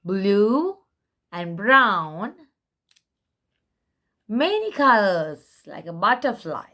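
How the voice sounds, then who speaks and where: A woman presenting and reading picture books for toddlers, speaking simply and deliberately, word by word. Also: medium pitch, very animated, sharp tone. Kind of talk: slow read-aloud for toddlers.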